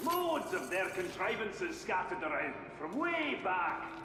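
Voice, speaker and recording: An actor playing a jovial, eccentric dwarf tinkerer in a video game, with a folksy, teasing manner. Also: male voice, sits high, sing-song, unhurried, heavily acted. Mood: jovial, knowing. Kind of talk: animated video game character acting. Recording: roomy reverb under game music.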